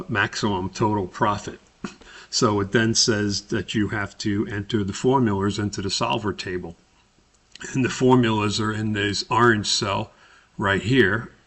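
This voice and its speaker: Male instructor for screen tutorial: deep, bright-edged voice, deliberate halting step-by-step delivery. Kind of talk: screen-recorded spreadsheet tutorial narration.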